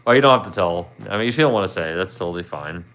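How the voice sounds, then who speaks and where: A man's voice: sits low, lively intonation, racing, a bit bright, soft background music. A man in his thirties with a Philadelphia accent, chatting casually with viewers on his livestream in a rambling, friendly way full of "you know". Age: 30s. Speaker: livestream host.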